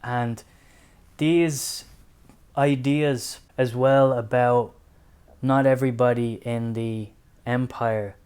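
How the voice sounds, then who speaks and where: A man's voice: mid-range voice, steady tone, slow, pauses often, a little mellow, clear, resonant tone. Earnest Irish man reviewing books. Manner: earnest, reflective, personal. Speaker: book reviewer. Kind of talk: casual book review to camera.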